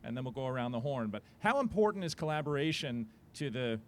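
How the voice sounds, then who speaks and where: A man's voice: mid-range voice, big pitch swings, fast, a little hiss or hum. Easygoing male panel moderator. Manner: conversational, seeks agreement with "right?". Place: conference panel.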